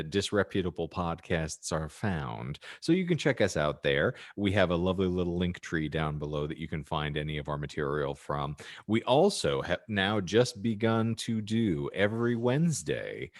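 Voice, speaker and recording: A man's voice: low-pitched, lively intonation, normal speed, few pauses, no room sound, studio quality. A man hosting a casual podcast, speaking in a wry, self-deprecating way with mock-grand wording. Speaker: podcast host.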